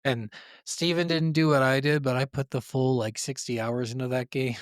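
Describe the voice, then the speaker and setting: A man's voice: medium pitch, steady tone, normal speed, dry recording, studio quality. A man chatting casually as a podcast co-host about games, swearing freely as he goes. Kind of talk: casual gaming podcast chat.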